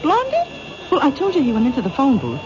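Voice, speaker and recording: A high-pitched, excitable diner gal chatters like an eager informant. She comes through a muffled, very noisy old radio drama recording. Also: quick pace. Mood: excited, chatty. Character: chatty, eager female lunch counter informant. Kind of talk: 1970s radio drama acting.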